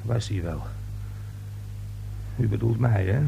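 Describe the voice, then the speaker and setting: A man's voice: lowish voice, takes it slowly, gravelly, narrow, tinny audio, full character voice. An actor playing a flustered male building caretaker in a radio play, stammering and defensive, with colloquial speech. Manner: stammering, hesitant, repeats words. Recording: old radio play recording with faint hum.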